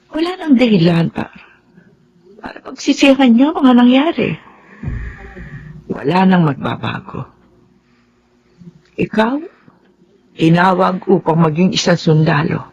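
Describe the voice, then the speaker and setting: Creaky, gentle older Filipino mother-in-law. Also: fairly low pitch, a little hiss or hum, exaggerated voice. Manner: measured, earnest, consoling. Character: gentle, forbearing older mother-in-law giving earnest counsel. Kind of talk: radio drama acting. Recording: dull recording under music.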